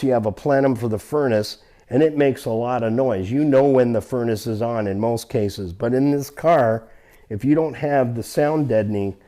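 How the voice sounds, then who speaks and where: A man talks viewers through repair work as host. His voice is mellow and relaxed at an ordinary male pitch. He is folksy and plainspoken, often repeating a phrase to drive it home. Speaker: vehicle restorer and host. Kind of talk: hands-on repair explainer, casual talk to viewers.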